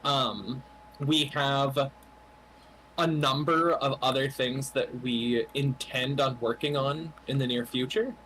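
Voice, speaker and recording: A man's voice: medium pitch, fairly even intonation, slow, a bit bright, a little hiss or hum, poor audio. A man, a game developer, explaining the project's progress on a casual livestream in a thoughtful way, often saying "you know.". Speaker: game developer answering questions.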